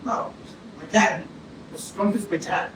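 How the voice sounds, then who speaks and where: A woman's voice: mid-range voice, unhurried, lightly acted. Female actor as guarded troubled man, hesitant answers, stage drama, distant mic, noisy room. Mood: uneasy. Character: troubled, guarded young man being questioned by police. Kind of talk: staged play reading. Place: arts centre theatre. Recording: echoey theatre, distant mic, room noise.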